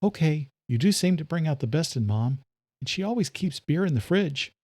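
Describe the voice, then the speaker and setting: Man as a guarded young policewoman, lifted pitch. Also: lively intonation, normal speed, a little mellow, dry recording, clean studio sound. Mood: resigned. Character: young female police officer, guarded, weary, soothing daughter.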